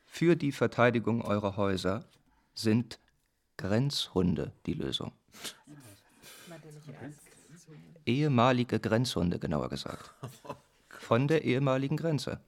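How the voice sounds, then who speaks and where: An actor playing an earnest militant squatter commander in a radio play, speaking in a deliberate, halting, emphatic way. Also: male voice, medium pitch, big pitch swings. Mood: grave, insistent. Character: earnest male militant squatter commander proposing defence plans. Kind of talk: German radio play acting.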